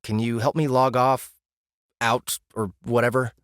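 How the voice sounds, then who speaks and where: A man's voice: mid-range voice, little pitch movement, measured pace, clear, resonant tone, no room sound, clean studio sound, close to an everyday voice. A man voicing a lost, bewildered young man in an audiobook, asking flustered, stammering questions. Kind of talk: audiobook character voice.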